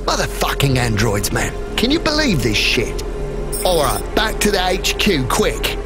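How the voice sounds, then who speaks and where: Loud music plays under a foul-mouthed male delivery driver from a video game. He rambles in agitation like a committed conspiracy believer, his pitch leaping around wildly. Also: huge pitch swings, a little slow. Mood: agitated, defensive. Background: loud music, vehicle noise.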